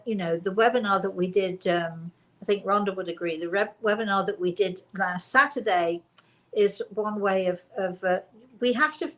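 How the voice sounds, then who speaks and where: A woman hosting a casual spiritual talk, speaking deliberately and repeating phrases for emphasis. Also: medium pitch, a bit bright, poor audio. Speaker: host and spiritual teacher.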